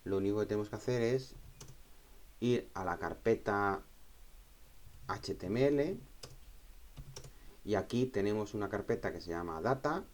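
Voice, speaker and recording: A man giving an informal software tutorial, explaining step by step, repeating himself and checking in with short tag questions. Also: lowish voice, unhurried, pauses often. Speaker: tutorial instructor.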